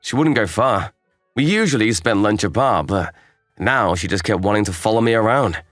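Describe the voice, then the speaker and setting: Man playing animated, easygoing young programmer. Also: on the low side, moderate pace, no room sound, studio quality, soft background music. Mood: narrating, mildly puzzled. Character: calm, kindly young male programmer, step-brother and mentor. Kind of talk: anime-style manga dub with inner narration.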